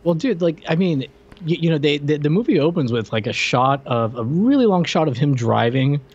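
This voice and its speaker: A man co-hosting a casual movie podcast, giving his take on an old film. He talks in halting bursts and often repeats the first word of a sentence before going on. His voice comes through a slightly dull, lo-fi line. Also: a little high, expressive, darkish tone. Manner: halting, stammers on first words. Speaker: podcast co-host. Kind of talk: casual movie review chat.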